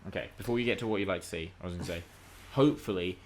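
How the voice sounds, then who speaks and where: Low-pitched male podcast host, opinionated. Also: racing. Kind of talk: casual pop culture discussion.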